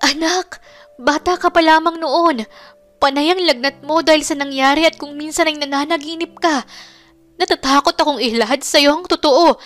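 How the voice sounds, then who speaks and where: A woman's voice: high-pitched, fairly bright tone, voice pushed up. A woman voicing a tearful, apologetic older mother in a storytelling drama, pleading as she confesses. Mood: sorrowful.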